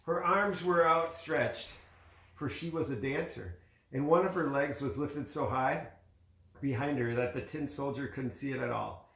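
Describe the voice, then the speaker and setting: Casting: father-type male storybook reader, mid-pitched, conversational with casual asides to a child, recorded in an echoey room. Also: unhurried, gravelly, a bit bright. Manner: conversational, pointing out pictures to a child. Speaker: father reading a story aloud to his child.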